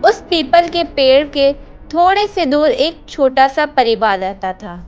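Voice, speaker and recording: A woman's voice: high-pitched, expressive, a little slow, dull audio. A woman narrating a scripted horror bedtime story as the storyteller. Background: loud music.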